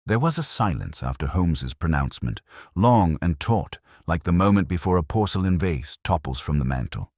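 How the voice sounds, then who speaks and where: Very low male narrator, expressive intonation, measured, literary, dramatic scripted storytelling. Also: dry recording, clean studio sound.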